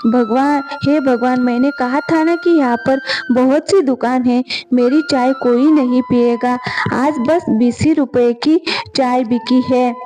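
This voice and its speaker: A woman's voice: sits high, fairly even intonation, unhurried, dark tone, faint music behind, poor audio. A woman voicing a stubborn, weary village potter and father in a homely, grumbling manner for a told story.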